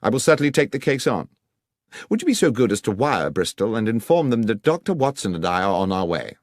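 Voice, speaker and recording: A man voicing a brisk, incisive and coolly polite gentleman detective in an audiobook. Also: on the low side, sing-song, no echo, clean studio sound. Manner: clipped, courteous, businesslike. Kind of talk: audiobook character dialogue.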